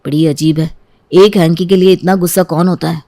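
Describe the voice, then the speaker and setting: A woman voicing an affectionate but jealous and sarcastic young husband in an animated story. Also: fairly low pitch, fairly quick, natural voice. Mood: muttering to himself. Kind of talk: animated story dialogue in Hindi.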